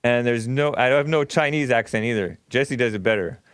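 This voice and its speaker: Rambling man with a smooth, dry voice. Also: mid-range voice, fairly quick, fairly bright tone, no echo. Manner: rambling, with frequent fillers and self-corrections. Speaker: co-host of a stream. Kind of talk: casual monologue.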